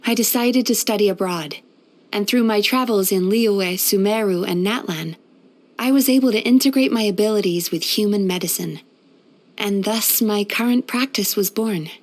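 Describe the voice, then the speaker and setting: A woman playing a gentle, composed yokai therapist in a video game, soft-spoken and measured. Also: medium pitch, natural voice. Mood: solemn, earnest. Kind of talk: video game character voice lines.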